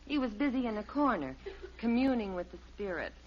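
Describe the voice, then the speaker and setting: A woman's voice: a little high, big pitch swings, a little fast. An actress playing a long-suffering, sensible American wife and mother in a 1950s radio sitcom, scolding but patient. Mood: exasperated. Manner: exasperated but patient, clearly enunciated. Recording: muffled, hissy old radio recording.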